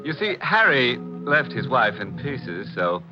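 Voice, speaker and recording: A man's voice: mid-range voice, very animated. An actor playing a ghoulish, theatrical host and narrator of a 1940s radio horror show, drawing out his words with sinister relish. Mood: gleefully macabre. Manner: drawn-out, pun-loving, sinister relish. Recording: dull 1940s radio recording, organ music.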